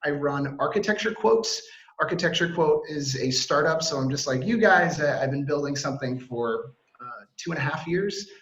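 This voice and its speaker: American man, 30s, keen webinar presenter, higher voice, echoey call audio. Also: animated, fairly bright tone, muffled recording. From Washington, D.C. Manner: upbeat, fast, filler-heavy, self-interrupting. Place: home or office on a video call. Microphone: video call mic.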